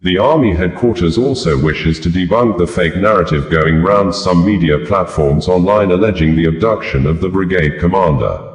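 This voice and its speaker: A man with a Nigerian accent reads scripted news as a presenter in a formal, measured manner. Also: low voice. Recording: roomy, echoing voice.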